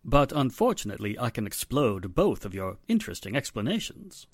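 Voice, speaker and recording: A man's voice: medium pitch, big pitch swings, dry recording, studio quality. An actor playing a haughty, formal, wealthy father in a 1940s-style radio mystery. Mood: smug, reasoning. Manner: formal, pompous, measured. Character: polished, haughty wealthy father, secretly guilty.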